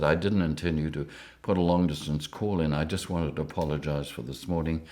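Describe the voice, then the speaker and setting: An older man with a very deep, low-pitched voice. He tells his stories in a lively way and keeps breaking into chuckles. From Rhodesia. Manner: lively anecdotes, chuckling often. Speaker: interviewee. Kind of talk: storytelling interview.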